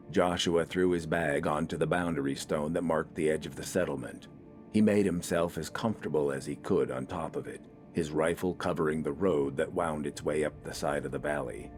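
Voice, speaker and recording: A man narrates a piece of fiction in a deep, low register. The delivery is scripted storytelling for an audio story. Faint music runs underneath the voice. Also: a little mellow.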